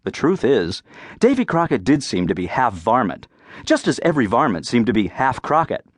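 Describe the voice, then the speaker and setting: Male audiobook narrator for children's folk tales: mid-pitched, very lively intonation, clearly phrased, dramatic storytelling. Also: normal speed, no room sound.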